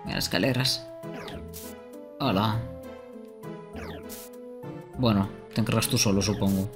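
A man's voice: lowish voice, steady tone, measured pace. A young man giving casual live gameplay commentary as a streamer. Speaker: game streamer. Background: loud game music.

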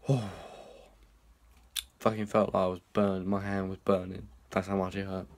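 Young lad rambling and swearing on a handheld phone vlog, kinda low voice. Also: male voice, little pitch movement, a little slow, a little mellow. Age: young adult. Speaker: vlogger. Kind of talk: casual vlog chat. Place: small indoor rooms and a hallway. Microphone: phone held at arm's length.

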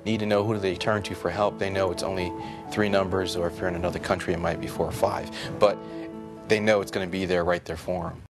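A man with a low voice speaks casually and reassuringly. His delivery stays easy and relaxed. Guitar music plays clearly behind him. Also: fast talker. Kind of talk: documentary interview.

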